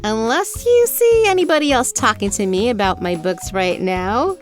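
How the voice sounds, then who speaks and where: A woman's voice: a little high, animated, moderate pace, faint music behind, close to an everyday voice. A woman playing a bold, teasing and confident female author in a fantasy audio drama. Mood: flirty, teasing.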